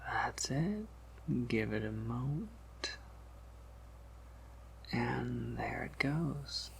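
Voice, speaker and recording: A man playing a soft-spoken vampire apothecary in a whispered ASMR roleplay, patiently explaining in a measured, slightly wry and knowing way. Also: mid-range voice, animated, slight background noise, heavily acted. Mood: calm, instructive. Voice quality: breathy whisper with creaky undertone.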